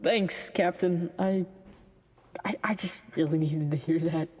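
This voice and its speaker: A woman's voice: on the low side, steady tone, measured pace, darkish tone, breathy edge. Woman as a hesitant young soldier, echoing hall. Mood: unsure, vulnerable. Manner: hesitant, stammering. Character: insecure young male soldier fresh from academy. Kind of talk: fantasy audio drama dialogue. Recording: long hall reverb.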